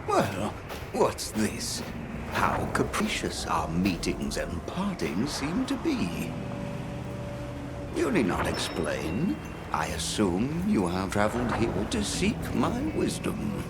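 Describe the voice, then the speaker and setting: A man voicing a cryptic, unhurried sage guide in a video game cutscene, speaking in slow, formal riddles. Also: on the low side, wildly swooping pitch, over loud music, heavily acted. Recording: loud ambient game noise.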